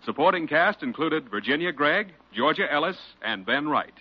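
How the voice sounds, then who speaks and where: A man's voice: fairly high pitch, expressive, moderate pace, slight background noise. A man reading a scripted announcement in the style of a 1940s radio programme. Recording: muffled old radio recording. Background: music.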